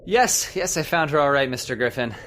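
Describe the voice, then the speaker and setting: A man's voice: a little high, expressive, fairly quick, a bit bright, a little hiss or hum. A man playing a worried, skeptical, protective husband in an old-time radio horror drama, fretful and dramatic. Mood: concerned. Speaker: voice actor.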